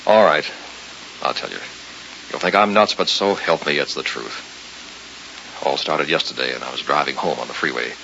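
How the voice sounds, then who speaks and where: An actor playing a frightened, bewildered man with a gravelly voice, terse and defensive, in old-time radio thriller style. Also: rumbling low, normal speed, pauses often, pushed and bright, full character voice. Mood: anguished, confessing. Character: frightened, bewildered man haunted by a supernatural bargain. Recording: hissy, dull old radio recording.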